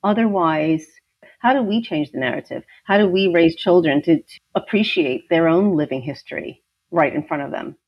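A woman author whose first language is Ukrainian talks through her book with animated, fluent storytelling. Her voice has a gravelly, husky edge. The sound is dry and dull, like a lo-fi remote recording. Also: low-pitched, moderate pace, no echo. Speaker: author, guest. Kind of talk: interview about her novel.

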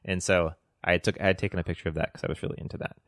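A man co-hosting a podcast, talking casually and haltingly, with lots of fillers. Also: low voice, little pitch movement, fairly bright tone, no echo, studio quality. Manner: halting, filler-heavy explaining. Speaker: podcast co-host.

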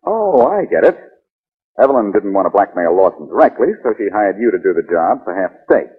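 An actor playing a confident, wisecracking male detective in 1940s radio drama, brisk and commanding with a wry edge. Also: on the low side, very animated, slightly rough. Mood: pressing, accusing. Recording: muffled 1940s radio recording.

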